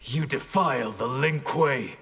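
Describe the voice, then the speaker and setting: A man's voice: medium pitch, measured pace, vocal fry, a bit bright, husky, full character voice. A man playing a stern, honor-bound ninja clan leader with a solemn, formal delivery in a fighting game. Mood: grim, defiant. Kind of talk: dramatic fighting game dialogue. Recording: reverberant hall-like game mix.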